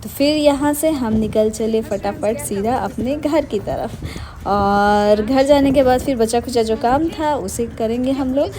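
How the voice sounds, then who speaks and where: A woman's voice: fairly high pitch, a little slow. A young adult woman narrating a casual daily-life vlog, chatty and meandering, mixing English words into her Hindi. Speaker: vlogger.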